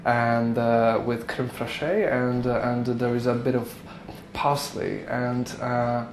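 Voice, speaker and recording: Give me a young man with a Polish accent talking about his studies in a halting way. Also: mid-range voice, flat delivery, a little hiss or hum. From Poland. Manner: hesitant, repeats words. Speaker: psychology student. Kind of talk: interview answers.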